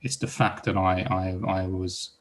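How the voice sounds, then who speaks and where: Husky, hesitant man from Iran. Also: sits low, little pitch movement, a little slow. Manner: hesitant, many repeated words and restarts. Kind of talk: panel discussion.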